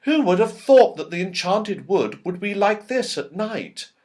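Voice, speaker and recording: An earnest, kindly young girl played by a man from a storybook. She speaks with excitable emphasis and very lively swoops in pitch. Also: unhurried. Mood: delighted.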